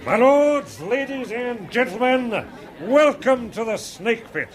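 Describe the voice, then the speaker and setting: An actor playing a wealthy, jovial playboy financier with a breezy, clubby manner in a 1970s radio drama. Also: male voice, extremely high pitch, lively intonation, slow, noisy background, exaggerated voice. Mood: grandly announcing.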